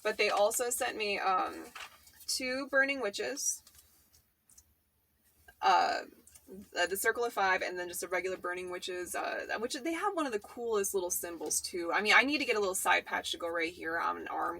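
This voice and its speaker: Bright-voiced, gushing woman vlogger. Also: medium pitch, gravelly. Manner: gushing, informal and sweary. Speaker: host of a hobby vlog. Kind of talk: casual enthusiastic chat.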